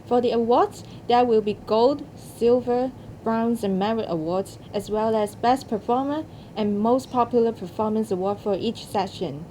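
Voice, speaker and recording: Mellow, higher-voiced woman making an announcement. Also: moderate pace, few pauses, slight background noise, rough recording. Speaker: announcer co-hosting a results announcement. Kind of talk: scripted announcement.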